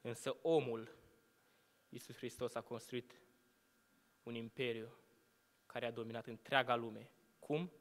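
A young man preaching a sermon from a lectern, asking rhetorical questions and letting his phrases fall to hushed endings. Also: medium pitch, steady tone, pauses often. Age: young adult. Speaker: student preacher. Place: church hall at a lectern. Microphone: lectern microphone. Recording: long hall reverb.

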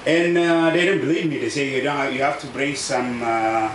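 South Sudanese man, halting non-native English. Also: mid-range voice, fairly even intonation. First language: a South Sudanese language. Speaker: refugee speaker. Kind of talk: personal testimony at a public event. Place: outdoors under a tent canopy at a camp. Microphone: table microphone on stand. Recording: echoey, distant outdoor recording with noise.

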